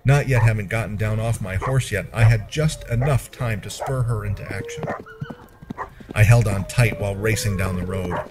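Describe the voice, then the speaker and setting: A man narrating a first-person fantasy story in a measured, expressive storyteller's delivery. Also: on the low side, very noisy.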